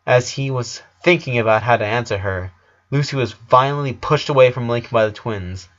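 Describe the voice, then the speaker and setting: A man's voice: medium pitch, normal speed, a bit bright, dull audio. A man reading scripted story narration as the narrator.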